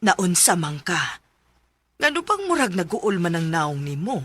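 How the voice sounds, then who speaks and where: A woman with a Cebuano Filipino accent playing a caring, wise mother in a radio drama, gentle and expressive. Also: lowish voice, measured pace, heavily acted. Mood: gentle, reassuring. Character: caring, wise older mother counselling her widowed daughter. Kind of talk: Philippine radio drama acting. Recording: music bed under the voice.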